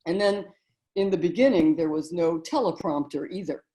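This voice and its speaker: A middle-aged woman talking in a relaxed interview, telling stories and memories as the guest. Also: fairly low pitch, normal speed, rough recording. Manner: warm, storytelling reminiscence with frequent "you know". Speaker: guest, author and narrator.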